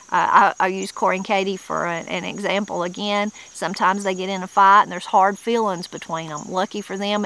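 Homespun Appalachian woman, bright voice. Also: medium pitch, rough recording. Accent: Southern Appalachian. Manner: homespun and plain-spoken, with frequent restarts. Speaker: channel host. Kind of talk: casual explanatory talk reading dictionary entries. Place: outdoors in a leafy wooded yard.

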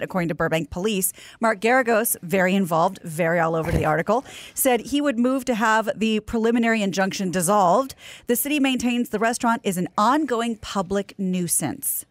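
A woman's voice: mid-range voice, dry recording. An American woman in her thirties co-hosting a talk show and casually reading out news, close on a studio mic. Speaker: co-host and news reader. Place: small podcast studio. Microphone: close studio microphone with headset.

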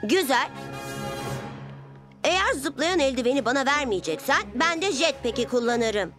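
A woman voicing an earnest, headstrong six-year-old boy superhero in an animated children's cartoon. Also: high-pitched, a little slow, over music. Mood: stubborn.

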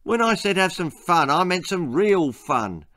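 A man voicing an excitable, mischievous young boy in a children's story. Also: sits high, unhurried, pitched above the performer's own voice, full character voice. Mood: scheming.

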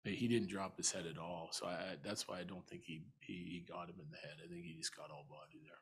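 A middle-aged man answering as a head coach at a postgame press conference, measured and thinking aloud with frequent restarts. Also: sits low, steady tone, fairly bright tone, husky. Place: arena press room. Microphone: table mic.

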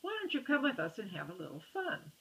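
A woman's voice: on the low side, huge pitch swings, quick pace, creaky, a bit bright, lo-fi sound, full character voice. A woman voicing a big, mocking bully bear cub who taunts and sneers. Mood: coaxing.

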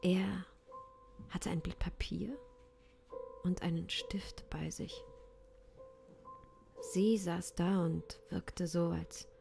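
A young woman narrates an improvised audio drama in a fairly low, creaky voice. She is hushed and measured, holding suspense over faint music. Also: steady tone. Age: young adult. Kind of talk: improvised third-person story narration. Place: small home studio, indoors. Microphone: close studio microphone.

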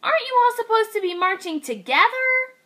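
A woman voicing a small, eager young boy piglet from a children's storybook, squeaky and exclaiming. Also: lively intonation, brisk, sharp tone, full character voice. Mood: puzzled.

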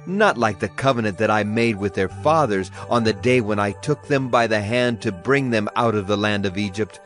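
An actor playing a solemn Hebrew prophet, proclaiming oracles earnestly in a dramatized scripture reading. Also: male voice, on the low side, close to an everyday voice. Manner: declamatory, earnest. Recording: music behind the voice.

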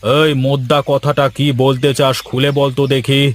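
A man's voice: medium pitch, flat delivery, moderate pace, close to an everyday voice. A man voicing a blustering, bossy shrimp-farm owner in a cartoon, with a domineering manner. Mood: stern. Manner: scolding, domineering. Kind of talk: cartoon dialogue acting.

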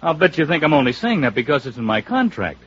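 Super raspy american comic yes-man, grandiose, swoops all over, old radio play. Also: a little high, sing-song, fast talker, fairly bright tone, exaggerated voice. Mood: mock-devoted. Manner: grandiose mock-oratory. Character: fawning, wisecracking comic flunky. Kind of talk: 1950s radio comedy-drama acting. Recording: dull vintage radio recording.

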